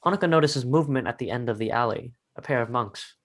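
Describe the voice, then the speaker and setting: A man reads scripted narration in a medium-pitched voice. He serves as narrator, delivering stage directions for a dramatic reading. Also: quick pace, fairly bright tone.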